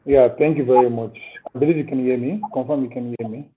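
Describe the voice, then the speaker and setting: A man's voice: mid-range voice, fairly even intonation, fast. Nigerian man on a tinny call line. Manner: earnest, explains with proverbs and examples. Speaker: panelist, agribusiness owner. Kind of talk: panel discussion over a call. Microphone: remote call audio.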